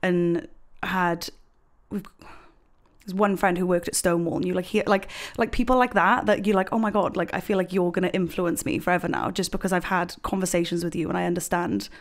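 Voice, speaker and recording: A woman's voice: fairly low pitch, steady tone, fairly quick. Chatty young woman from Wales. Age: 20s. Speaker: podcast guest. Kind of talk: casual personal chat.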